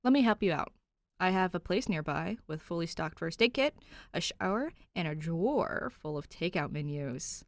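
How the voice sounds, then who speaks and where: A woman's voice: on the low side, expressive, normal speed, no echo. Protective young policeman, played by a woman, earnest and apologetic, recorded dry for a fan fiction audiobook. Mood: concerned, gentle. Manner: placating, worried, pleading.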